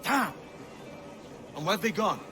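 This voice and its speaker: Brash pirate, music blaring. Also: male voice, high voice, expressive, a little slow. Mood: angry. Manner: foul-mouthed, blunt, rough. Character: brash, roguish young male pirate captain. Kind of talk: video game cutscene acting. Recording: loud game music under the voice.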